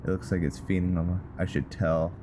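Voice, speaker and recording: Male gaming host, deep and unhurried, casual and halting, thinking aloud over noticeable game ambience. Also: fairly even intonation, dark tone, a little hiss or hum. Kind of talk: casual game roleplay commentary.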